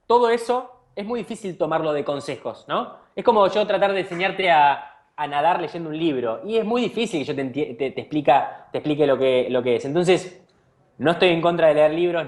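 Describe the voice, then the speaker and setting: A man from Argentina with an Argentine accent, a company founder answering interview questions with earnest advice and frequent restarts. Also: a little high. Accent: Argentine Spanish. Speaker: startup CEO being interviewed.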